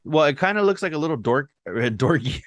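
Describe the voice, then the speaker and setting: A man's voice: fairly high pitch, expressive, fast, dry recording. A young man co-hosting a podcast, chatting casually and telling stories with slang and a few Spanish words. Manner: laid-back, storytelling with slang and Spanish words. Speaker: podcast co-host. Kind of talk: casual chat.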